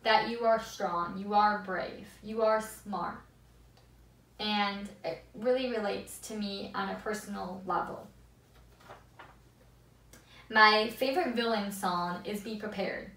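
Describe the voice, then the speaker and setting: A young woman with a squeaky voice chatting enthusiastically to the camera in a casual vlog, seated in a small room. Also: fairly even intonation, slow, pauses often, a bit bright. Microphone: far camera. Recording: echoey room, mic at a distance.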